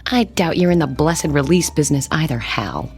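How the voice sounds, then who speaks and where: Wry guarded woman, lowish voice, deadpan, radio drama with soft music behind. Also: moderate pace. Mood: reproachful, wry. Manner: dry, deadpan. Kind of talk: audio drama acting.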